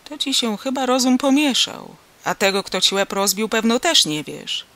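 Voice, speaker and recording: A storybook reader voicing a gruff, irritable, suspicious peasant man who scolds in rustic, exclamatory speech. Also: mid-range voice, sing-song, unhurried, bright, forceful tone, voice pushed up, full character voice. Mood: irritated.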